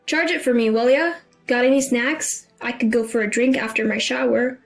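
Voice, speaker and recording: A woman's voice: fairly high pitch, steady tone, moderate pace, gravelly, faint music behind. A woman narrator voicing an offhand adult son who talks in a breezy, demanding way. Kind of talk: dramatised story dialogue.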